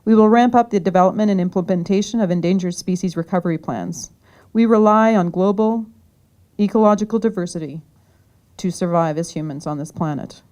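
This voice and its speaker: A woman's voice: fairly low pitch, a little fast, darkish tone. A woman candidate giving an earnest, prepared campaign speech, reading from notes. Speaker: political candidate. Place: community hall.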